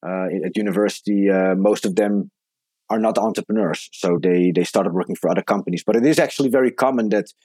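Man, thirties, Dutch accent, low voice, very dry close sound, conversational podcast guest. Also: quick pace, a little mellow, no room sound. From Netherlands. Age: 30s. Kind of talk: conversational interview answers.

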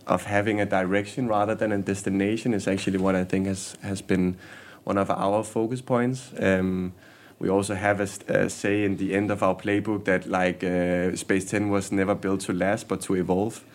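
A man, a guest in a casual interview, speaking candidly with frequent restarts and repeated words. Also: low voice. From Denmark. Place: workshop basement.

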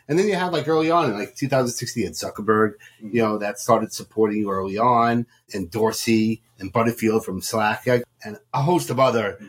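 A man's voice: fairly low pitch, slightly rough. A man hosting a casual interview, asking chatty, rambling questions with some emphasis. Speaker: podcast host, interviewer.

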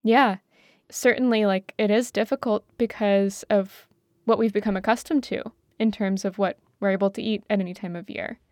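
A woman's voice: medium pitch, fairly even intonation, moderate pace, a little mellow, dry recording, studio quality. A woman explaining things casually in conversation as a panelist, informal and thinking aloud as she goes. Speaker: talk show panelist.

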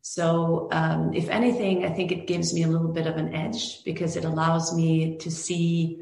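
A woman's voice: low voice, little pitch movement, moderate pace, vocal fry, darkish tone, a little hiss or hum. A Norwegian woman, a film director, answering interview questions thoughtfully with many restarts and fillers. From Norway. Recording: echoey room.

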